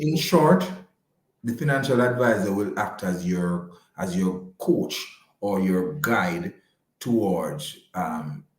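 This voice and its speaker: A man speaking as an interview guest, measured and courteous, with slightly formal wording. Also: lowish voice, echoey room. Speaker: interview guest, financial advisor. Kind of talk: conversational radio interview.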